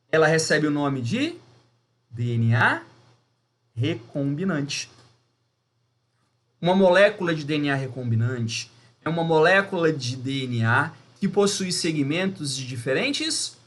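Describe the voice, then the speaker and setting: A man's voice: high voice, fairly bright tone. A man with a Brazilian Portuguese accent teaching a lesson step by step, emphatic and didactic, often putting questions to his listeners. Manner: didactic, emphatic, with rhetorical questions and check-ins. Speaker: biology teacher. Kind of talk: video lesson, explaining step by step.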